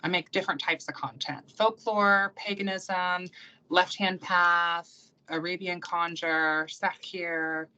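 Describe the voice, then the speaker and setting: A young woman from the United States, talking in a low but bright, forceful voice. She rambles in a loose, casual way, with lots of ums and likes as she tells her stories. The sound is a little dull and lo-fi, like a call recording. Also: steady tone, takes it slowly. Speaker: guest, practicing witch and YouTuber. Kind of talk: casual conversational interview.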